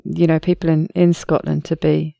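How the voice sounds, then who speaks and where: An upbeat, friendly woman hosts a podcast, reading her intro and chatting easily. Her voice has a gravelly fry at its edges. The sound is dry and close, with no room around it. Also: low voice, level tone, moderate pace, dark tone, dry recording. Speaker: podcast host. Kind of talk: scripted intro, then casual interview chat.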